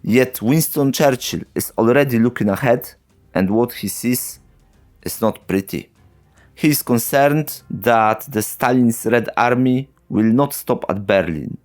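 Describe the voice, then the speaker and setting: Slow, wry male history narrator. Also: mid-range voice, a little mellow, soft background music. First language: not English. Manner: slow, wry, sarcastic, with short chuckles. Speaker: history channel host. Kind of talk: narrated history video with dry asides.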